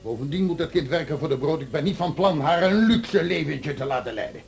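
An actor playing a cruel, domineering master of a house in a radio play, harsh, scornful and commanding. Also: male voice, fairly high pitch, expressive, a little fast, gravelly, a little mellow.

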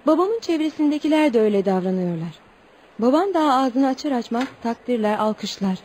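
Wistful young tribeswoman, played by an actress, high voice, formal storytelling delivery, radio play with light background noise. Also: expressive, fairly quick, darkish tone, lightly acted. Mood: troubled, earnest. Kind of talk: radio theatre acting.

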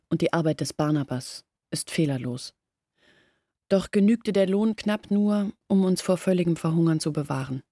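A woman's voice: low voice, no room sound, studio quality. An actress playing a young village woman, weary and bitter, earnestly recounting her family's disgrace in a radio play. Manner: quiet, earnest storytelling.

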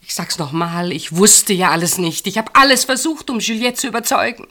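A woman's voice: mid-range voice, animated. An actress in a German radio play, playing an anguished woman secretly in love with her sister's husband, intense and dramatic. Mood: pleading, agitated. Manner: intense, dramatic, swinging from outcry to hushed halting speech.

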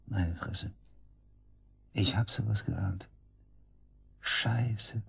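A man's voice: lowish voice, very slow, creaky, bright, forceful tone, breathy edge, heavily acted. An actor playing a brash, sarcastic murder suspect under police questioning in a radio drama, crude and defiant in his slangy talk. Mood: agitated, indignant. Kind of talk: naturalistic German radio drama acting.

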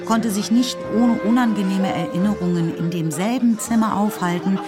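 A woman's voice: medium pitch, moderate pace. A woman narrating a literary radio play in a scripted storytelling style. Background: music.

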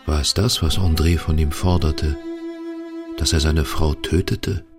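A man's voice: very deep voice, steady tone, a little slow, over music. A man giving literary narration in an audio drama.

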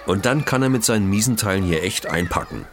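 A man's voice: fairly low pitch, expressive, slight background noise. Man voicing a keen, bossy schoolgirl sleuth. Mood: eager, instructing. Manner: quiet, brisk, explaining. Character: bossy clever schoolgirl detective, keen and patient. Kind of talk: children's audio mystery dialogue.

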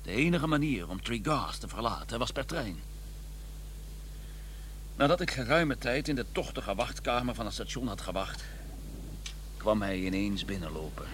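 A prim, learned gentleman in a radio play, telling his tale and sparring in formal speech. His delivery is dry, sarcastic and exasperated, with animated rises and falls. A faint noisy hum sits on the recording. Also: lowish voice, moderate pace, long pauses. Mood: indignant recounting. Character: prim, exasperated English scholar, a doctor, narrating his journey. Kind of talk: radio drama acting and first-person narration.

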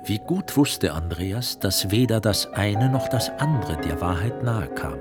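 A man narrates a literary radio drama in a lowish voice. His delivery is scripted, measured and serious, with music behind him. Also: lively intonation.

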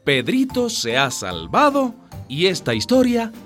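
An expressive storyteller narrating a scripted radio drama. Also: mid-range voice, a little slow. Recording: music behind the voice.

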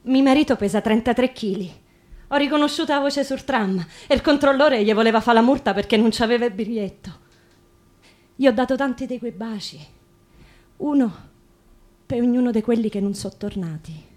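Actress as a high-voiced, loving Roman wife. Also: female voice, moderate pace, darkish tone, natural voice. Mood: tender grief. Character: loving wartime wife welcoming back her emaciated husband. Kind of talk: scripted dramatic monologue.

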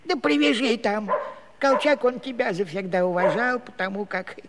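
A man's voice: high-pitched, normal speed. An actor playing a comic, wily old village grandfather in a Soviet musical-comedy audio play, thin and quavering, muttering with many oohs and hesitations. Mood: wheedling. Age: older. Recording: long hall reverb with clear background noise.

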